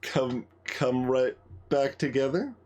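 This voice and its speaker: A man voicing a gruff, casual teenage monster girl from a video game, in a halting, offhand manner. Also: medium pitch, darkish tone, natural voice. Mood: puzzled, reflective. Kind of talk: game dialogue read aloud.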